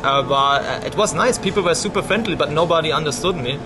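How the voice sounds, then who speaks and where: A man's voice: on the high side, quick pace. A man in his thirties with a German accent, chatting casually in a rambling way with many restarts, filmed on a handheld camera in a pub. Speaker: vlogger. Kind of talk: casual chat. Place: busy pub, indoors. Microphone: action camera held at arm's length. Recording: loud background music. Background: pub music.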